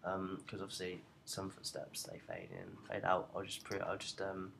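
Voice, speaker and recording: A young man with a low-pitched, noticeably creaky voice talks through a software tutorial informally. He explains haltingly, feeling his way through each point, over faint background noise. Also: big pitch swings. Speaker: student tutorial presenter.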